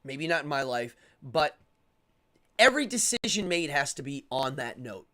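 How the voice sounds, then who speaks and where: A man hosting a live stream, talking casually and opinionatedly as he answers chat, rambling and repeating words in quick bursts. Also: a little high, big pitch swings, sharp tone. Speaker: live-stream show host.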